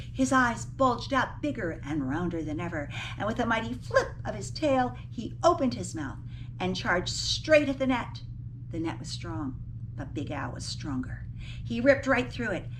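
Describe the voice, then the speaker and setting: A woman's voice: a little high, a bit bright, recorded from a distance, slight background noise, poor audio. A woman teacher reading a children's picture book aloud expressively, with dramatic emphasis on key words and little asides to her listeners.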